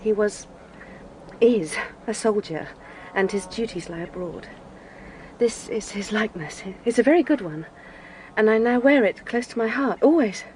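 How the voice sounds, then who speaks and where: An actress playing a sorrowful, gentle young gentlewoman in a period audio drama, earnest and wistful. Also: mid-range voice, lively intonation, moderate pace, slight background noise, close to an everyday voice, rough recording. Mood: wistful, confiding.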